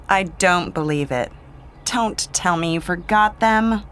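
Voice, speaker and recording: A woman, playing a young magazine employee in a scripted language-course dialogue, chatty and lively. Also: lowish voice, slow. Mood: exasperated.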